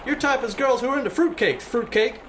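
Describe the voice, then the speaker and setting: A man's voice: very high-pitched, fairly quick, fairly bright tone, a little hiss or hum. A man playing a crude, wisecracking young bus driver in a comedic radio play, with a cocky, teasing delivery.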